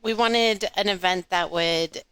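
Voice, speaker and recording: Woman guest, friendly and explanatory, bright crisp voice. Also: on the low side, a little slow, low-quality recording. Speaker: guest, gallery organizer. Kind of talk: interview answers.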